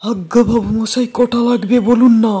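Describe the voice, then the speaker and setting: A man's voice: very high-pitched, fairly even intonation, normal speed, a crowd in the background, pitched above the performer's own voice. A man voicing a deferential roti shop seller who is eager to serve.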